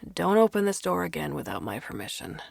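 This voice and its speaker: A woman's voice: big pitch swings, fast talker, creaky, breathy edge, no room sound, studio quality, heavily acted. A woman voicing a weary, stern father who gives curt orders in an audiobook story. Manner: curt, low-key, commanding.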